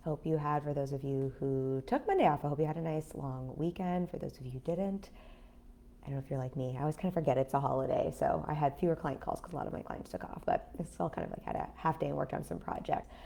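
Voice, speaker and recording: Raspy-voiced woman coach, low and gravelly. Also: fast, dark tone, a little hiss or hum. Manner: chatty, many fillers like "like" and "um". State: raspy voice after illness. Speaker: business coach. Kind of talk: casual livestream talk. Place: home in a city apartment.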